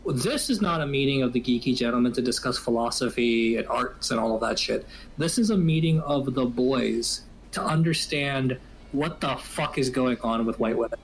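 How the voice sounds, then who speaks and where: A man in his twenties co-hosting a podcast in casual chat, ranting with animated profanity and repeating phrases for emphasis. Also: medium pitch, normal speed, slight background noise, poor audio. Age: 20s. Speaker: podcast co-host.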